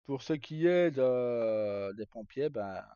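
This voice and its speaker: A man's voice: mid-range voice, expressive, slow, mellow, smooth. A man chatting casually to live viewers as a streamer, rambling and hesitant in colloquial speech with some swearing. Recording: dull lo-fi mic in echoey room.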